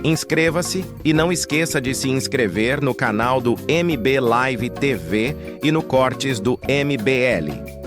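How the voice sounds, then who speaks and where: A Brazilian man delivers a scripted outro asking viewers to subscribe, light and self-deprecating. Music plays clearly underneath his voice. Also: mid-range voice, expressive, takes it slowly. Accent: Brazilian Portuguese. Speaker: channel editor outro. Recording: voice over loud music.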